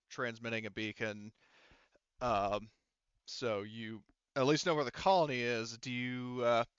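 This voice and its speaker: Bright-voiced man running a game, thinking aloud. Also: medium pitch, smooth, no echo, lo-fi sound. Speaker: game master. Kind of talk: improvised tabletop game narration, casual.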